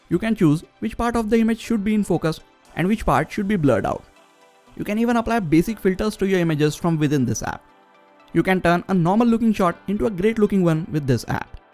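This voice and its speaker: A man's voice: high-pitched, darkish tone. A man co-presenting a tech video, reading a scripted review as narration. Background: background music.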